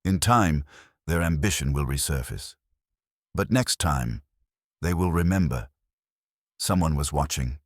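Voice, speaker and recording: Man doing an imperious older detective, formal, precise, extremely deep, husky. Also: animated, no room sound, clean studio sound, heavily acted. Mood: dry lecturing. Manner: precise, measured, formal diction.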